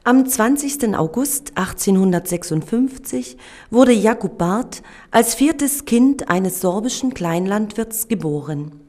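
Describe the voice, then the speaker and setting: A woman narrating a documentary in a measured, solemn reading voice. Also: medium pitch, animated, warm tone.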